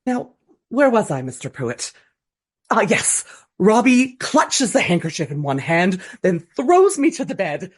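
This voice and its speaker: Gushing, husky, melodramatic Regency actress. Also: female voice, mid-range voice, big pitch swings, a little slow. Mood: tragic, theatrical. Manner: theatrical, breathy earnestness, dramatic flourishes. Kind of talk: radio play acting.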